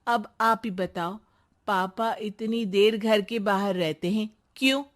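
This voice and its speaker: A woman's voice: mid-range voice, takes it slowly, close to an everyday voice. A woman playing a scheming, falsely sweet young daughter in a moral story, with a wheedling way of talking. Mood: insinuating worry. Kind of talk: acted moral story dialogue.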